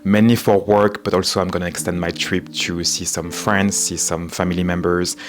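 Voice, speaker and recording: A man with a French accent, talking calmly to camera in a casual vlog with frequent fillers. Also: low-pitched. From France. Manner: calm, measured, frequent "you know" fillers. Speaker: menswear and vintage YouTuber. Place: home in Southern California.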